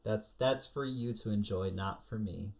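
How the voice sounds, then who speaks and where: A man co-hosting a casual, comedic anime podcast, telling stories in an animated, exaggerated way. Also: fairly low pitch, unhurried, vocal fry. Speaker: podcast co-host.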